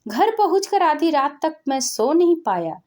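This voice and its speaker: A woman telling an emotional story as scripted narration, in a gentle, earnest way. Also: high-pitched, sing-song, unhurried.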